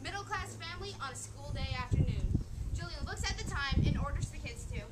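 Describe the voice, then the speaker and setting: A woman with a high voice narrates a scripted piece in a declamatory, theatrical style. Loud noise runs all through the recording. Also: expressive, a little fast. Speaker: readers theater performer. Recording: very noisy live recording.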